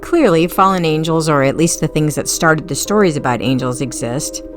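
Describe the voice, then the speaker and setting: A woman's voice: deep voice, normal speed, faint music behind, lightly acted. A woman voicing a sardonic, skeptical female bartender in an audiobook, dry and sarcastic. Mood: grudging.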